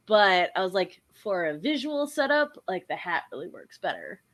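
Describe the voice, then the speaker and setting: A young woman hosts a relaxed drawing stream, bubbly and quick to laugh. Her voice is bright and swings widely in pitch, with a slightly stuffed-up, nasal sound from a cold. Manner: bubbly, chatty, lots of laughter. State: congested with a cold, a bit nasal. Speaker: host and artist. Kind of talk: casual chat while drawing on stream.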